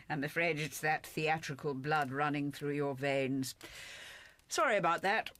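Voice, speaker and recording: An actress playing a gentle, supportive older mother in a radio drama, soft-spoken and reassuring. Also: low-pitched, unhurried. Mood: gentle concern.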